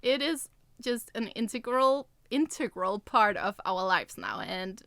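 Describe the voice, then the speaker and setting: A woman's voice: on the high side, animated, measured pace, a bit bright, dry recording. A woman co-hosting a podcast, talking in casual, informal conversation. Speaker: podcast co-host and co-writer.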